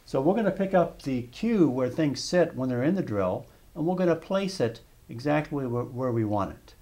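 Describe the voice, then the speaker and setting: A man narrating a step-by-step software tutorial over screen footage, explaining calmly with small restarts. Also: medium pitch, moderate pace. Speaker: software demonstrator.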